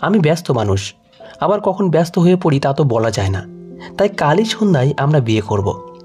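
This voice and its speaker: A man's voice: a little high, very animated, normal speed, darkish tone, soft background music. A man voicing a busy, courteous and decisive young policeman engaged to be married, in an audio story. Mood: courteous, explaining. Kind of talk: emotional audio story dialogue.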